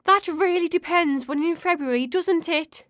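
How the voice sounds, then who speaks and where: A woman's voice: extremely high pitch, normal speed, a bit bright, no room sound, studio quality. A woman acting a haughty, stubborn winter spirit in a comedic radio drama, snide and argumentative. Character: Winter, haughty petulant female season, stubborn and sarcastic.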